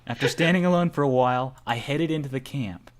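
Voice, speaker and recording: A man's voice: medium pitch, fairly quick. A young man reading visual novel narration aloud in an amateur, dramatic way, as narrator of a let's play.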